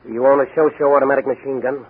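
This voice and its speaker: A man's voice: medium pitch, steady tone, rapid-fire, breathy edge, close to an everyday voice. A man playing a tough, confident young masked crimefighter in a 1940s radio drama, American accent, commanding and wry. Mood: cool, commanding. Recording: crackly, narrow-band 1940 radio recording.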